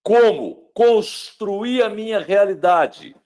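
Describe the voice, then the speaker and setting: A middle-aged man from Brazil giving a webinar lecture on mental wellbeing, dramatic, swinging between loud emphasis and hushed tones and asking the listener rhetorical questions. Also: high voice, animated, slow.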